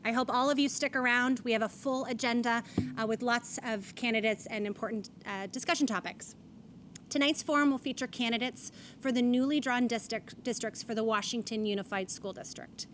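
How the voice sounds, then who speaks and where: A woman's voice: on the high side, steady tone, brisk, fairly bright tone, slight background noise. Woman moderating a forum. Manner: scripted, reads statements and rules aloud. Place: event stage.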